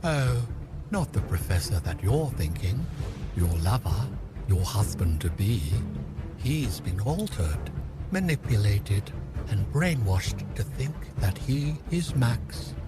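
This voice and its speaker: An actor playing a bitter, gloating outcast villain in an audio drama, theatrical, taunting and grandiose. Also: male voice, lowish voice, very animated, takes it slowly, loud music behind the voice. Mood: gloating, menacing. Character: bitter, gloating male Clockmaker villain, outcast celestial schemer.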